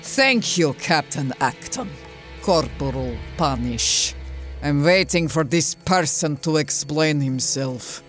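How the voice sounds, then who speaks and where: A woman's voice: sits low, expressive, slow pace, faint music behind. Woman doing a bossy air force colonel lady, formal and stern, big swings in her voice, audio comedy. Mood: stern, imperious. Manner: formal, clipped commands, haughty. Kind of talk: comedic audio drama acting.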